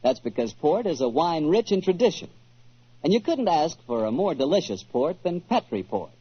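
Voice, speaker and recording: Animated American man, vintage radio announcer. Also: fairly high pitch, moderate pace, husky, no echo. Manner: polished, salesmanlike. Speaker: radio announcer and sponsor spokesman. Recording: muffled old radio broadcast.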